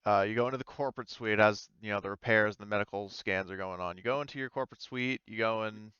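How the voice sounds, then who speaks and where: A man with a low, smooth voice narrates a tabletop roleplaying session as game master. He talks casually and haltingly, feeling for the next word. The sound is close and dry, a little muffled. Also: sharp tone, no room sound. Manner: halting, searching for words.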